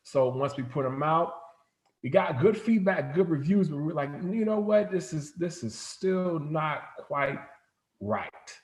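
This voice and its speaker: A man's voice: on the high side, expressive, a little slow. Man chatting casually in an echoey room. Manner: informal, rambling storytelling with frequent "like". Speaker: interview guest, comics company founder. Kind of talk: casual interview chat.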